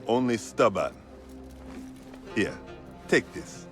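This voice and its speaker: An actor playing a stubborn, commanding rebel leader in a video game, with a blunt, weighty, declamatory delivery. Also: male voice, mid-range voice, animated, slow pace, fairly bright tone, faint music behind. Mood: resolute. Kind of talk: dramatic video game cutscene acting.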